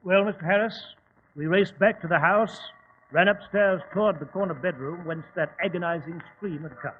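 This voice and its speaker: An actor playing an excitable, easily baffled British gentleman sidekick in an old-time radio drama, blustering and exclaiming. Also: high-pitched, moderate pace, slightly rough. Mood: grave, dramatic. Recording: tinny, band-limited old radio recording with hiss.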